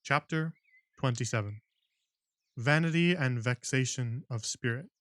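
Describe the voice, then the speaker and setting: A man's voice: medium pitch, unhurried, a bit bright, no echo. A man hosting a livestream book club, chatting with viewers and laughing often before reading a novel aloud.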